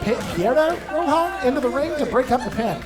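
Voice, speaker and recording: A man calling a wrestling match play by play, excitable and quick with quips. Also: very high voice, loud music behind the voice. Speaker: wrestling commentator. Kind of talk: play-by-play commentary. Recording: loud crowd behind.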